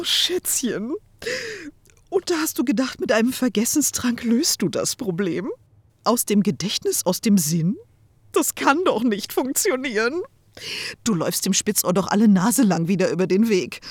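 A woman's voice: mid-range voice, very animated, normal speed, slightly rough, dry recording. Woman acting a flirty, theatrical, swooping storyteller confidante. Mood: amused, mocking. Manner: playful, cooing, theatrical, uses pet names. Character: teasing, flirtatious female storyteller and saucy confidante to a witch. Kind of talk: scripted comic audio drama.

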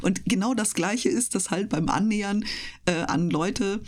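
A woman's voice: medium pitch, unhurried. A woman chatting casually as an interview guest, explaining things thoughtfully in colloquial, youthful slang. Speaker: interview guest, voice actress and streamer. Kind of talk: casual radio interview chat.

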